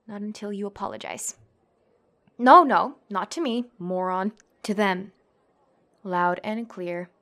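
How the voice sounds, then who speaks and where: A woman's voice: mid-range voice, a bit bright, natural voice. A young woman playing a tough, cocky tsundere classmate who fights MMA, brash, bossy and teasing, in an ASMR roleplay. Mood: mocking, taunting. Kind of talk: ASMR girlfriend roleplay acting.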